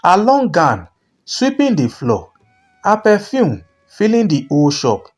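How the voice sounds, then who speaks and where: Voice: medium pitch, huge pitch swings, unhurried, darkish tone, faint music behind. A narrator telling a scripted folktale in a dramatic storytelling style.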